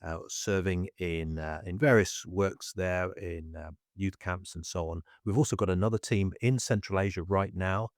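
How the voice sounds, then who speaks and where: Deep-voiced man hosting a podcast. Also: steady tone, moderate pace, few pauses, no echo, studio quality. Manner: hesitant, many fillers and restarts. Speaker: podcast co-host. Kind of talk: casual conversational podcast.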